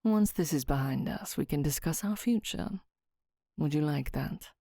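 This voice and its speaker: A woman voicing a cheerful, self-assured young viscount with a lower, slower, confident drawl. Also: animated, creaky, dark tone, no room sound, clean studio sound, heavily acted.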